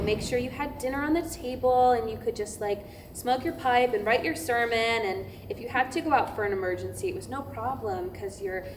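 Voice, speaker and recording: A woman's voice: mid-range voice, close to an everyday voice. An actress playing a frank, wry woman pastor in a staged reading, speaking in a colloquial, emphatic way. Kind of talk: verbatim theatre monologue, staged reading. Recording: echoey, noisy live stage room.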